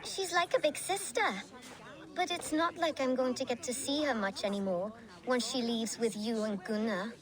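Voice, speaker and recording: Woman acting a young village girl, high pitch, childlike, matter-of-fact, quirky and morbid, game dialogue, clear crowd noise behind. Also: moderate pace, natural voice. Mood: chatty. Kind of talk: video game character dialogue.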